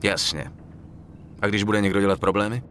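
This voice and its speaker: Actor as low-voiced tough young veteran. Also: male voice, steady tone, a bit bright, a little hiss or hum, close to an everyday voice. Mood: businesslike. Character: tough, plain-spoken young male war veteran turned gangster. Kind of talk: dubbed crime game cutscene acting.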